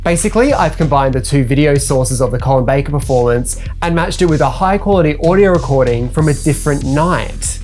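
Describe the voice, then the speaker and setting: A man's voice: a little high, a little fast, hardly any pauses, a little mellow, faint music behind. A man hosting a video, narrating a script in an informal, explanatory way. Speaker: video host.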